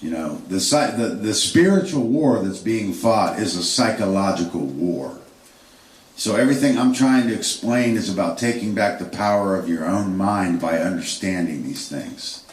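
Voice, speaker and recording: A man's voice: deep voice, animated, normal speed. A man giving an unscripted history lecture, rambling with fillers and restarts. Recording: echoey room, distant mic.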